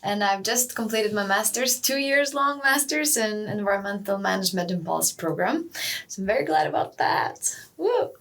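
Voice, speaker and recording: A woman's voice: medium pitch, lively intonation, normal speed, a bit bright. Young woman from lithuania, chatty and upbeat, guest on a student podcast. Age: young adult. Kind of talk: casual podcast interview.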